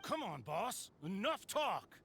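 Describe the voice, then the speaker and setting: An impatient thug for a video game. He is eager and goading, with lively pitch and faint noise behind. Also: slow pace, gravelly, exaggerated voice. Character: eager, impatient thuggish male henchman. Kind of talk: video game villain acting.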